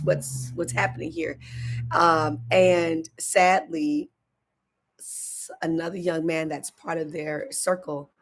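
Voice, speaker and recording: A middle-aged woman with a hoarse voice, hosting a morning motivational livestream as a minister and telling a personal story in an earnest way. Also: low voice, a bit bright. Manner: earnest, storytelling, sometimes emotional. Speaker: minister and show host.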